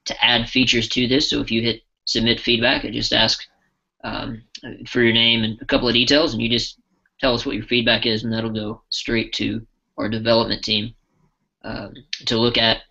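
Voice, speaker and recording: A man giving a casual live software demo as a trainer, talking loosely with frequent restarts. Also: mid-range voice, little pitch movement, moderate pace, vocal fry, breathy edge, lo-fi sound.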